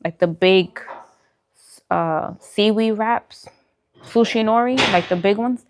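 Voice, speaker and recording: A woman's voice: medium pitch, takes it slowly. A woman chatting informally with her live-stream viewers, answering comments as she goes. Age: adult, parent. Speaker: food vlogger and live streamer.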